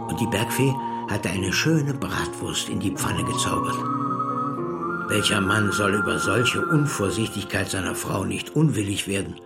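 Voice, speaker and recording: A man reading a fairy tale aloud as a storyteller, in a dramatic, deliberate way. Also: lowish voice, vocal fry. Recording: music loud behind the voice. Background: music.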